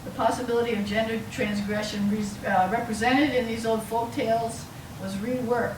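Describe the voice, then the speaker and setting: A woman giving an explanatory academic lecture to an audience, with a halting delivery. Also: fairly high pitch, steady tone. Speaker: university lecturer. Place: lecture room, mic some way off. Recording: roomy, distant mic, clearly noisy.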